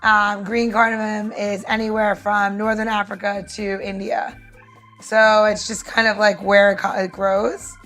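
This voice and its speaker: A woman's voice: medium pitch, flat delivery, measured pace, fairly bright tone, soft background music. A woman co-hosting a podcast, explaining a recipe step by step in an informal, instructive way. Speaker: podcast co-host and cocktail maker.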